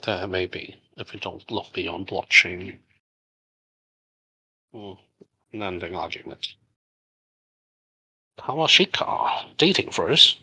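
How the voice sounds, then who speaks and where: A middle-aged man from Hong Kong, a native Cantonese speaker, answers questions in a loose, halting way, full of fillers and restarts. His voice sits a little low but sounds bright and forward. It has a husky, slightly rough edge. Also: low-quality recording. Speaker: game studio founder answering community questions. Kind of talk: casual AMA, reading and answering questions.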